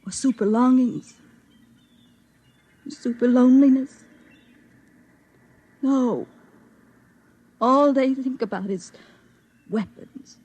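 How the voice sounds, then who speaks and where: A woman's voice: sits high, slow, pauses often, darkish tone, slightly rough, heavily acted. An actress playing a lonely, bitter, secretive young woman in a 1950s radio drama, with a world-weary, intense delivery. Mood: scornful, anguished.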